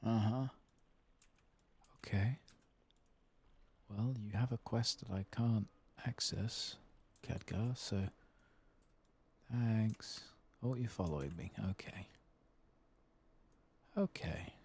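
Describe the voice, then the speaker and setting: A man giving soft-spoken, sometimes whispered ASMR gameplay commentary, murmuring and musing as if to himself. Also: fairly low pitch, slow pace, long pauses, slightly rough. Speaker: game streamer.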